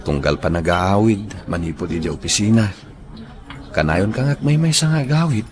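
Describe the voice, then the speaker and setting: A man acting a shy, earnest young office worker in love with a coworker, soft-spoken, in a radio drama. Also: fairly low pitch, lively intonation, moderate pace, close to an everyday voice.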